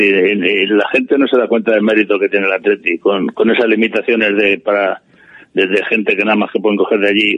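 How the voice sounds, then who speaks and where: A man's voice: on the low side, slightly rough, no room sound, highs cut off. A man, a supporters' club president talking bluntly and plainly in a radio interview. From Madrid, Spain. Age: 80s. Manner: blunt, plain-spoken, opinionated.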